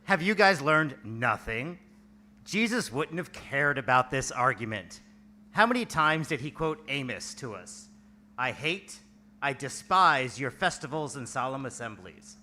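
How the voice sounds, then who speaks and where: A man's voice: medium pitch, very animated, a little slow, bright, forceful tone, a little hiss or hum, full character voice. A man playing an exasperated, fervent disciple quoting scripture emphatically and declaiming in a church play reading.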